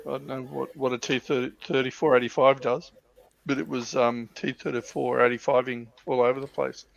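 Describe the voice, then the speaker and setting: A man's voice: mid-range voice, flat delivery, a little fast, gravelly. A man chatting casually as an enthusiast on a livestream, often restarting his sentences as he goes. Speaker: livestream panellist. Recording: slightly dull, dry lo-fi audio.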